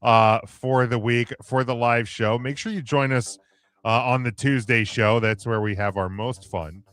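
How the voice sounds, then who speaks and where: A man hosting a casual wrestling podcast, talking in halting bursts with many fillers and restarts. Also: medium pitch, steady tone, a bit bright, clear, resonant tone. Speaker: podcast host.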